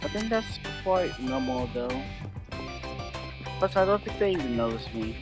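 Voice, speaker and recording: A man improvising a newcomer slayer in a game roleplay, speaking tentatively and haltingly. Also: medium pitch, big pitch swings. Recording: music clearly behind the voice. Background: game music.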